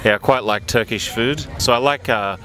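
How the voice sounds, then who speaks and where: A man's voice: mid-range voice, normal speed, crowd noise. A man answering a street interview outdoors, speaking thoughtfully and hesitantly with many fillers. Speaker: passer-by being interviewed.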